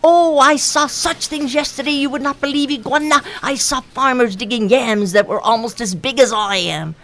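A woman voicing a boastful little mosquito who chatters much too loudly, in a told folktale. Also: sits high, normal speed, pitched above the performer's own voice. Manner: loud and chattering.

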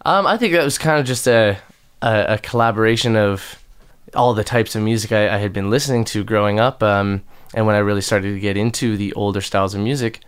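A young man with a low, smooth and resonant voice that carries a slight nasal edge. He answers questions casually, circling back on himself with fillers and false starts. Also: brisk. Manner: rambling, many restarts and fillers. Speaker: musician guest.

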